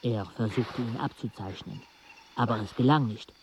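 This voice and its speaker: A man in a radio play acting a weary travel companion who narrates in a measured, reflective way. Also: lowish voice, darkish tone, slight background noise, lightly acted. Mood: reflective narration.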